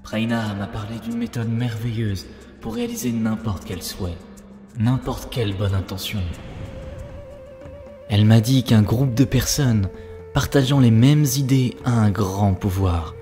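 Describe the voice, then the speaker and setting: Male actor as devoted young man, cartoon dub, earnest, solemn, lowish pitch, faint music behind. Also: unhurried, warm tone, natural voice. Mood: earnest, preaching. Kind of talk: dubbed cartoon dialogue.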